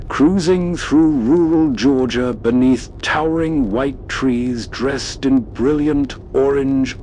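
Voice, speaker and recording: A man's voice: mid-range voice, darkish tone, slight background noise. A man narrating as host in a scripted, nostalgic storytelling style.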